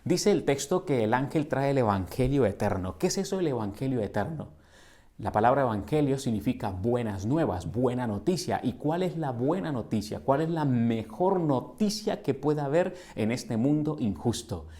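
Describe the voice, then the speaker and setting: A middle-aged Colombian man preaches a sermon in a mellow, warm voice at an average pitch. He speaks in earnest and poses questions to the congregation, and he builds to emphatic repeated lines. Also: expressive, moderate pace. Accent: Colombian Spanish. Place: church sanctuary.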